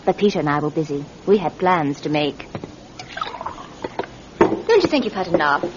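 A woman playing a scheming, sharp-tongued grown daughter in an old radio drama, cutting and persuasive, both narrating and acting. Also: sits low, expressive, moderate pace, heavy background noise. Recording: dull vintage radio, music behind.

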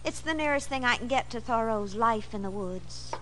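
Actress as effusive, bookish American woman: highish, very animated, affected, nervous, old radio drama. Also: normal speed, close to an everyday voice. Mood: airy, affected. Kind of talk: 1940s radio drama acting. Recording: old radio recording, faint noise.

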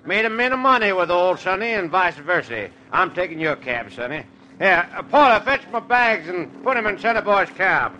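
Loud texan oil rich guy, texan accent, bright brassy voice, laughs a lot, old radio comedy. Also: high voice, expressive, moderate pace, a little hiss or hum, low-quality recording. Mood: bossy. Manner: boisterous drawl, laughing, folksy. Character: boastful rich Texas oilman, loud and backslapping. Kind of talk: 1940s radio acting.

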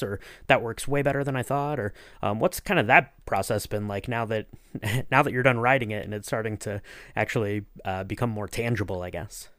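A man's voice: mid-range voice, no room sound, clean studio sound. A man hosting a casual podcast interview, talking in long, rambling, circling sentences.

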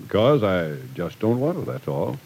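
A man's voice: on the low side, big pitch swings, moderate pace, creaky, a little mellow, husky, full character voice. An actor playing a stern, protective older man in a 1940s radio drama, earnest and moralizing, in an old recording. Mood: stern, worried.

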